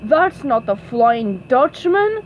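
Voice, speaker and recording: A woman's voice: on the high side, very animated, slow pace. A woman voicing a sneering, sarcastic male cartoon squid with a dismissive, mocking manner. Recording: dull lo-fi, faint background noise.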